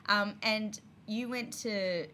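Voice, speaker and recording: A woman's voice: medium pitch, slow pace, sharp tone, slight background noise. A woman interviewing a guest in casual conversation, chiming in with short encouraging replies. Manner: brief encouraging interjections, easy laughter.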